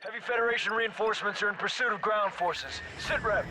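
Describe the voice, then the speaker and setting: A man's voice: sits high, brisk, close to an everyday voice. A male actor playing a hard-pressed field soldier, making terse, urgent radio reports in a war game. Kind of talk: urgent military radio dialogue. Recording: loud music and battle noise behind.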